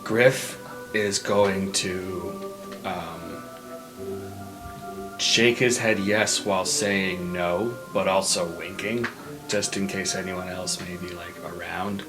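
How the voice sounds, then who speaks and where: A man with a lowish voice plays in an improvised tabletop game, describing his character's moves slowly and haltingly. Music runs clearly behind him. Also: steady tone. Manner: halting, trailing pauses. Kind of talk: improvised roleplay narration.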